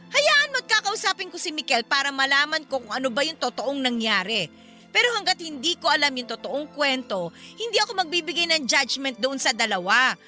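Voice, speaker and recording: High, bright, firm Filipina mother. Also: big pitch swings, a little fast, exaggerated voice. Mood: firm, restrained. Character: firm, reasonable middle-aged Filipina mother and friend. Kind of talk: radio drama acting. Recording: music behind the voice. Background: background music.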